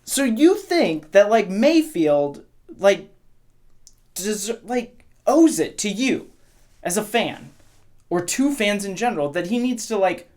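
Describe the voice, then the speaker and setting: Actress as brash, animated woman rock fan. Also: mid-range voice. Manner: blunt, profane, interrupting, slangy. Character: brash, stubbornly loyal female rock fan, middle-aged. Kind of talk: casual argument in an audio drama.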